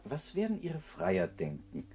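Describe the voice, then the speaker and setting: A man's voice: lowish voice, wildly swooping pitch, unhurried. An actor playing a brooding, lovesick and awkward man in a radio play, hesitant and poetic. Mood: tender, hesitant. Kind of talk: German radio play acting. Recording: guitar music behind the voice.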